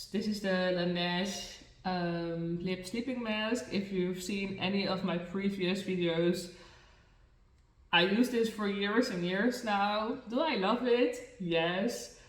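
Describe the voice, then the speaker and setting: A woman's voice: medium pitch, slow pace. A young woman with a Dutch accent talks casually to her camera while doing her makeup at home. She sounds self-conscious, using lots of fillers and restarting her sentences. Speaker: beauty and mental health vlogger. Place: room in a new home, indoors. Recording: echoey room, mic far from the speaker.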